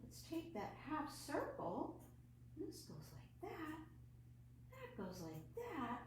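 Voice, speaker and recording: A woman leading an online children's storytime and craft from home, animated and putting questions to young viewers. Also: high-pitched, takes it slowly. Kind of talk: children's storytime read-aloud and craft demonstration. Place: at home, indoors. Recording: roomy echo, faint background noise.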